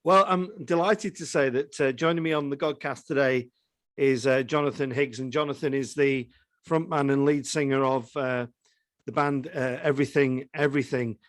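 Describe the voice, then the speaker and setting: A man's voice: medium pitch, fairly even intonation, normal speed, dry recording, studio quality. A man hosting a conversational interview, often repeating words as he forms his questions. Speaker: podcast host interviewing a musician.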